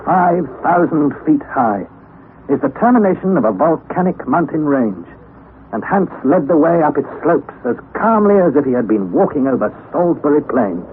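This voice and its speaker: A young man narrating his adventure in first person for a 1960s radio drama, measured and careful. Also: fairly high pitch, very animated. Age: young adult. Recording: tinny old radio broadcast with hiss.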